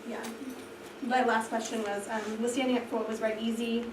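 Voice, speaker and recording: Woman, kinda high voice, reading to a class, far from mic w noise. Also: flat delivery, brisk. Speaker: trainee teacher rehearsing a read-aloud. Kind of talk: reading aloud and questioning a class. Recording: echoey, distant mic, clear background noise.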